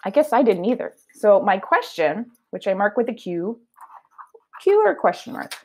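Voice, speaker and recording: A woman teaches children through a read-aloud, thinking out loud as she goes. Her mid-range voice is very animated, and her delivery is conversational and explanatory. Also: mid-range voice, normal speed. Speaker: elementary teacher.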